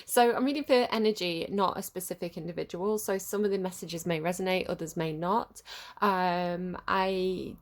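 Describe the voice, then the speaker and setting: A woman's voice: fairly low pitch, moderate pace. A woman with a head cold, giving a rambling, hesitant tarot reading. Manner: rambling and hesitant, many ums and restarts. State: congested with a cold, sniffly. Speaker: tarot reader. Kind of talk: casual collective tarot reading to camera.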